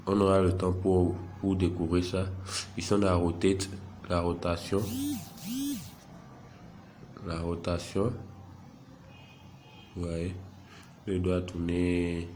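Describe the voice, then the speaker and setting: Deep slow man with a head cold. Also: level tone, darkish tone, slight background noise. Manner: unhurried, self-deprecating, narrates each click. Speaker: software tutorial presenter. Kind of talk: screen-recorded app tutorial.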